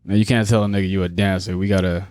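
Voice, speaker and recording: A man's voice: deep voice, flat delivery, rapid-fire, darkish tone, no echo. A man hosting a podcast, asking blunt and teasing questions in a casual interview. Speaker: podcast host.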